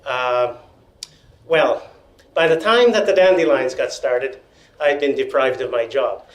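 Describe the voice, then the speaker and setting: An older man telling stories at a panel in a meeting room, loud-voiced with wry joking asides, feeling unwell and dizzy. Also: mid-range voice, measured pace. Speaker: panel speaker. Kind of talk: storytelling at a panel. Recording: echoey room.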